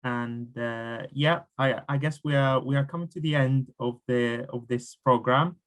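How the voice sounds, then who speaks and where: A man chairs a webinar session in a hesitant, halting manner. His pitch is ordinary, middle for a man, and he speaks over a video call. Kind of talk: chairing an online conference.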